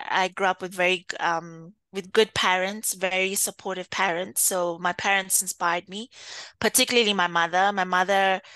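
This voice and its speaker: A woman from Kenya being interviewed, speaking thoughtfully with frequent pauses and easy laughs. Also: mid-range voice, pushed and bright, dry recording. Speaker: interview guest, data executive. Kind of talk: conversational interview.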